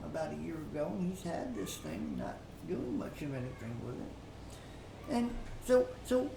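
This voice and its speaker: A woman's voice: deep voice, sing-song, vocal fry, mellow. Roomy, distant recording of a folksy woman preacher. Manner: folksy, halting, repeats words and pauses often. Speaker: preacher telling a story. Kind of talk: informal Bible teaching with storytelling. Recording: roomy, distant mic with faint noise.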